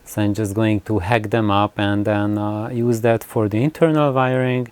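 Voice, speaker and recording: A man hosting a hobby video, explaining things casually and unscripted, often halting and pausing mid-sentence. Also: lowish voice, steady tone, a little mellow, clear, resonant tone, no echo. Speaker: host of an audio hobby channel.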